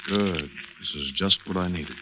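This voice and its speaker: Radio suspense lead: calculating schemer and narrator, low register, measured and clipped, muffled period sound. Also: male voice, slight background noise. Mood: hushed, intent. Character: cool, calculating male schemer planning to impersonate his double. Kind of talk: 1940s-1950s radio drama acting, first-person suspense narration. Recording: dull vintage radio recording with music.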